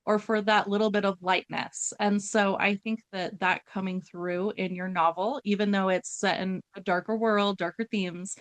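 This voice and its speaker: Woman host, upbeat, effusive, mid-pitched, dry close recording. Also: no echo. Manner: enthusiastic, effusive praise. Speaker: podcast host. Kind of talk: casual interview hosting.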